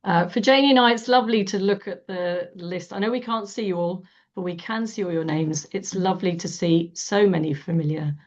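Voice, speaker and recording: A woman with a croaky voice, hosting a coaching webinar in a warm, chatty way with many fillers. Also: lowish voice, brisk. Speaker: webinar host. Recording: dull lo-fi webinar audio.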